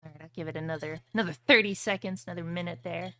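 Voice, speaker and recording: A woman's voice: lowish voice, racing, a bit bright. A woman hosting a tabletop fantasy game as game master, moving between casual chat and scripted storytelling. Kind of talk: casual table talk and dramatic scripted fantasy recap narration.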